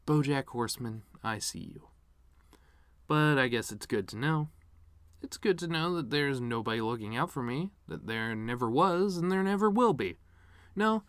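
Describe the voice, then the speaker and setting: A man's voice: mid-range voice, a bit bright, clean tone, no echo. A man performing a dramatic monologue as a bitter, grieving middle-aged cartoon horse-man, sardonic, rambling and wounded. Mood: grieving, resigned. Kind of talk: dramatic reading of a eulogy monologue.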